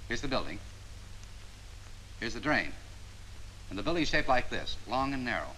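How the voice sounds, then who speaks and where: An actor playing a confident, meticulous Army photo interpreter in a 1940s training film, explaining things precisely. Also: male voice, mid-range voice, big pitch swings, normal speed, sharp tone, rough recording. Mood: self-assured. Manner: precise, self-assured, explanatory. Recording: 1940s film soundtrack with faint hiss.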